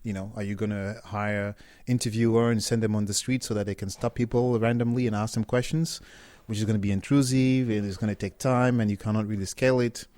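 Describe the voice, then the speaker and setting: A middle-aged man from Cameroon, a startup co-founder talking casually as an interview guest, relaxed and often restarting his words. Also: fairly low pitch, a little fast, no echo.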